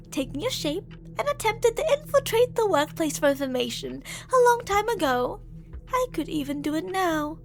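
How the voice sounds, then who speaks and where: A woman's voice: very high-pitched, exaggerated voice. A woman voicing an obsessive, menacing shapeshifting monster who coaxes and taunts intimately in an ASMR horror roleplay. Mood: menacing, teasing. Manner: intimate, taunting, possessive, with drawn-out phrases. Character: obsessive female shapeshifting monster posing as a lover, menacing, coaxing.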